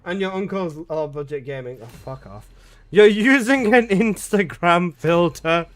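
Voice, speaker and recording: A man's voice: high voice, animated, a little slow, faint music behind. A man in his twenties, a game streamer chatting casually and jokingly with his live chat, swearing often. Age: 20s.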